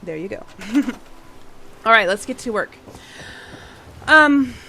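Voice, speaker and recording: A woman hosting a game-building channel, chatting casually while she plays. Her voice is a little husky from a cold she is getting over, and she sounds tired and late-night. She apologizes often and laughs a lot. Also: medium pitch, expressive, takes it slowly, bright, forceful tone, slight background noise, low-quality recording. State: slightly husky from a fading cold. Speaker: gaming channel host. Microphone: home voice mic.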